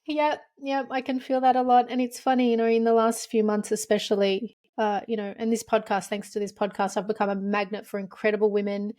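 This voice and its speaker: A woman's voice: mid-range voice, level tone, fairly quick, hardly any pauses, darkish tone, no room sound, studio quality. A woman from Lebanon hosting a podcast, talking openly and reflectively in casual chat. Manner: open, emotional, reflective. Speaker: podcast host.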